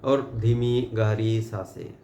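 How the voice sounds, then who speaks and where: A man with an Indian accent leading a guided meditation, giving calm, unhurried and gently directive instructions. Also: lowish voice. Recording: muffled, roomy sound with background hiss.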